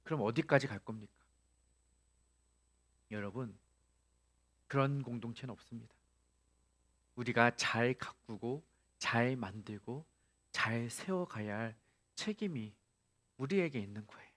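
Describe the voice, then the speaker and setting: A man's voice: medium pitch, unhurried, pauses often, a bit bright. A male pastor preaching a sermon, asking the congregation rhetorical questions and rising to emphatic peaks.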